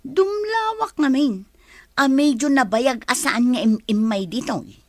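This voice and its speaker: A woman's voice: sits high, animated, a little slow, dry recording. A woman acting a nosy, gossipy household worker with an Ilocano accent in a radio drama.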